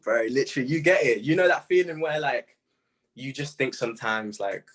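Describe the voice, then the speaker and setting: A young British man in a casual interview, telling stories in slangy, animated speech full of quoted asides. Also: mid-range voice, a little fast. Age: young adult. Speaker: music artist being interviewed.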